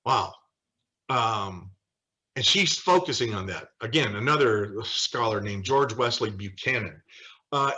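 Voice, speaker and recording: Male Bible study leader, American, very bright forceful voice, conversational and halting delivery with emphatic asides. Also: medium pitch. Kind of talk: informal teaching with quoted scripture and scholars.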